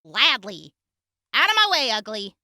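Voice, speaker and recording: A woman playing a brash, sharp-tongued, feisty prisoner on the run in an audio drama. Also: a little high, sing-song, measured pace, no echo, clean studio sound, exaggerated voice. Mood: taunting. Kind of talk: post-apocalyptic audio drama acting.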